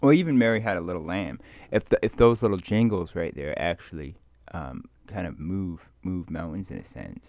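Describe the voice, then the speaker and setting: A man rambling casually in a hesitant, halting, musing way, often trailing off as he thinks aloud. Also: low voice, animated, no room sound. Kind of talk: rambling casual monologue, ASMR roleplay. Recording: dull lo-fi close dry recording.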